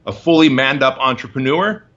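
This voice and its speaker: A man's voice: mid-range voice, fairly quick, fairly bright tone, dull audio. A man in his forties, a guest in an interview, telling his story in emphatic, punchy short phrases. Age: 40s.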